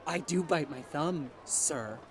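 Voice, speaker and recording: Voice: medium pitch, takes it slowly, natural voice. An actor playing a brash, boastful servant in a Shakespeare play, speaking with theatrical emphasis. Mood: provocative. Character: brash, bawdy Capulet servant spoiling for a fight. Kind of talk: classical Shakespearean stage acting.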